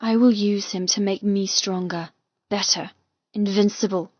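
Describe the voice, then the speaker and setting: A woman reading a first-person romance audiobook with intense, expressive, dramatic delivery. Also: medium pitch, measured pace, no echo, muffled recording. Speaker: audiobook narrator.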